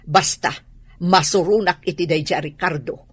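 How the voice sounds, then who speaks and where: A man acting in an Ilocano radio drama as a knowing, gossipy older confidant with a raspy, wary voice. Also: high-pitched, normal speed. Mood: wary, confiding.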